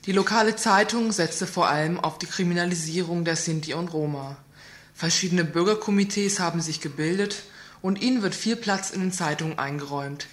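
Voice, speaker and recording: A woman reading a scripted report on a community radio news program, asking pointed rhetorical questions. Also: fairly low pitch.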